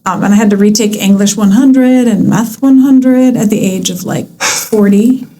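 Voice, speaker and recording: A woman's voice: medium pitch, normal speed, dark tone. A woman in her fifties from California, chatting as a podcast guest, talking freely with plenty of likes and ums and laughing easily. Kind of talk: casual interview chat.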